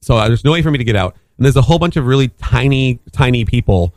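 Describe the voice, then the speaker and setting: A man's voice: medium pitch, animated, quick pace, dry recording. Rambling male storyteller, dry close mic. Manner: rambling with many fillers and restarts. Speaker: podcast co-host telling a story. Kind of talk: casual storytelling chat.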